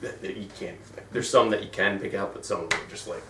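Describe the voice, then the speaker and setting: A man talks casually in an interview, rambling and acting out little quotes between lots of "like". His voice sits on the low side. The mic is far from him in an echoey room, and a clear hiss runs under everything. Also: fast. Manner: rambling, full of "like" and acted-out quotes. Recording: echoey room, distant mic, audible noise.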